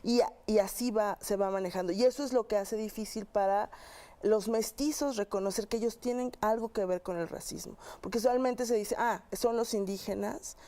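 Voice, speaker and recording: A woman's voice: medium pitch, moderate pace, mellow. A woman with a Mexican accent answering as a research guest in an interview, explaining thoughtfully and often checking with short tag questions.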